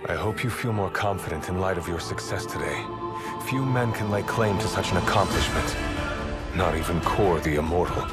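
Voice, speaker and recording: An actor playing a stern, seasoned older military marshal in a video game, measured, terse and serious. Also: male voice, deep voice, natural voice. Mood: measured, approving. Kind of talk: dramatic video game acting. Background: loud music.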